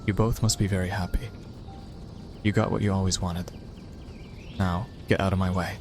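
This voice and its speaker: A man's voice: sits low, little pitch movement, normal speed, background noise. A man playing a solemn, grieving vampire warrior in an audio drama, holding himself back. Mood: bitter, grieving. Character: grim, grieving vampire warrior, brave and weary. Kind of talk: dramatic audio fiction acting.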